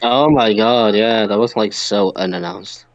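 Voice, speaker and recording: A man's voice: on the low side, measured pace, creaky, no echo. A man co-hosting a podcast in casual chat, on a lo-fi microphone. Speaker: podcast co-host. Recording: dull, dry lo-fi microphone sound.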